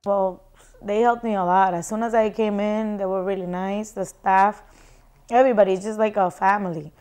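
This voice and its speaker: A young woman quietly telling her own story in an interview, halting with restarts. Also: mid-range voice, steady tone, clean tone. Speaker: shelter client. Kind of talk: personal interview testimony. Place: indoor home room. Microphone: lapel.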